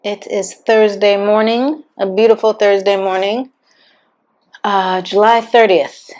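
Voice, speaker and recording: A woman with a slightly raspy voice talking candidly and chattily in a casual personal vlog. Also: medium pitch, little pitch movement, unhurried, a little mellow. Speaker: vlogger.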